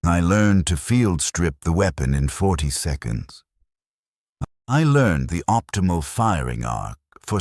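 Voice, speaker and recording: A man reading scripted first-person war fiction in a grave, measured manner with short clipped sentences. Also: deep voice, no echo, studio quality. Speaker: narrator of science fiction war stories.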